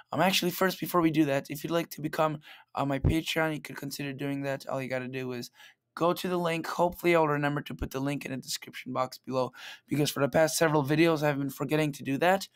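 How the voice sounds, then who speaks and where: A man with a slightly raspy voice, chatting casually through a weather forecast vlog on a plug-in microphone. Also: a little high. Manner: chatty, loose, talks through model maps. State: slightly raspy late at night.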